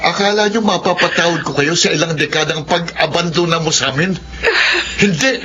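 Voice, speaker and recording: An actor playing a proud, resentful eldest son, an engineer, with a Filipino accent in melodrama style. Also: male voice, on the high side, fairly quick, bright, forceful tone, muffled recording, a little hiss or hum. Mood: bitter, angry. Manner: resentful, confrontational.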